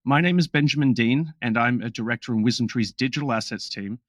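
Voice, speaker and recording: Male podcast host, mid-pitched voice, upbeat and jokey, dry close mic. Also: no room sound. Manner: upbeat, jokey, quick asides. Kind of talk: casual podcast chat with read disclaimer.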